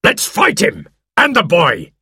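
Storybook pirate, male, played by a man reading: greedy, furious, jeering shouts, pushed high and husky. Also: huge pitch swings, slow, dry recording, clean studio sound, exaggerated voice. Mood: jeering, aggressive. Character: angry greedy male pirate, loud and sneering.

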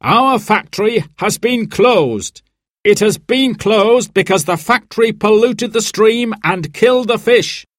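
Man playing an angry, high-pitched factory manager. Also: lively intonation, measured pace, dry recording, clean studio sound. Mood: indignant. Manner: forceful, emphatic, indignant.

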